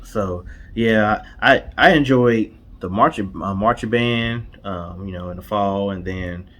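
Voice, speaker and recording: Man, low voice, casual co-host chat, colloquial, repetitive phrasing. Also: unhurried, fairly bright tone. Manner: colloquial, repeats himself, frequent "you know". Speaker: co-host of a storytime show.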